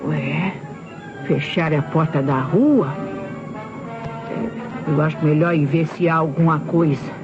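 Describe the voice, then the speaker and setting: A puzzled, sleepy Brazilian servant mutters slowly in a fairly high voice. It is old radio drama, with loud music in the recording. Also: male voice, muffled recording. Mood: puzzled, drowsy.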